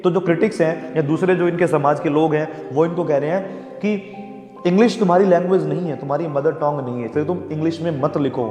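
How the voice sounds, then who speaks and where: A man with an Indian accent teaching a class, reading English verse lines slowly and explaining each one with frequent "okay". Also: on the high side, fairly even intonation, dark tone. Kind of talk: explaining a poem line by line to students. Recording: echoey room with long reverb and background music.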